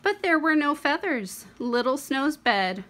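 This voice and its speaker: A young woman tells a picture-book story for small children in a fairly high voice. She goes slowly, leaning on key words and pausing for drama. Her delivery is expressive throughout, as a read-aloud host. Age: young adult. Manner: expressive, dramatic pauses and emphasis.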